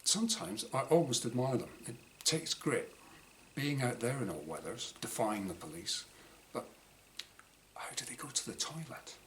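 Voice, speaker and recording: A man reading his own first-person short story dramatically, in clipped diary-like phrases with pauses. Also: mid-range voice, animated, a bit bright. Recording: clearly noisy room ambience.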